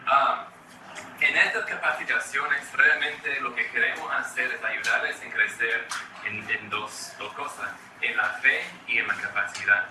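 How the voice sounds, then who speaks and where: Fast-talking American man in an echoey hall. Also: medium pitch, fairly even intonation, bright, forceful tone, slight background noise. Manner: fast-talking, frequent restarts and repeated words. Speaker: children's ministry trainer. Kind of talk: training talk to church workers. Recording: echoey room from far off.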